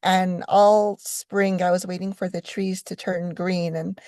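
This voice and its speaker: A middle-aged woman from New Mexico giving a conference keynote as a professor, speaking in an unhurried, reflective way with frequent ums and restarts. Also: fairly low pitch, level tone, darkish tone, no echo, studio quality.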